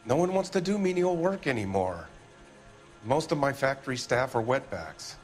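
An American actor playing a defensive, evasive middle-aged factory owner in a video game, with an indignant, blustering manner. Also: male voice, medium pitch, big pitch swings, moderate pace, faint music behind, natural voice.

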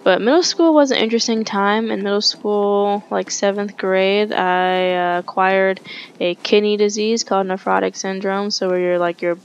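A woman's voice: medium pitch, steady tone, moderate pace, dull audio, slight background noise. A young woman gaming streamer telling a rambling story as she plays, swearing and correcting herself. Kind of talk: casual storytelling over gameplay. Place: home room, webcam. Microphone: headset.

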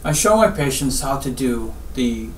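A middle-aged man from Guyana, a surgeon giving advice in an interview in measured, deliberate short phrases with pauses. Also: mid-range voice, very animated. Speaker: spine surgeon, interview guest. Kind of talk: interview answers, health advice. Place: small office, seated at desk. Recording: roomy, distant mic, faint background noise.

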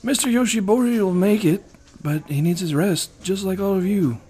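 A man's voice: high-pitched, normal speed, a little mellow, soft background music, lightly acted. A man playing a kindly, loyal old grandfather in an anime fandub. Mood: concerned, resolute. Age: older.

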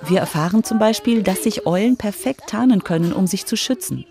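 A woman's voice: medium pitch, lively intonation, fairly quick, warm tone, soft background music. A woman narrating a scripted children's radio feature as its reporter.

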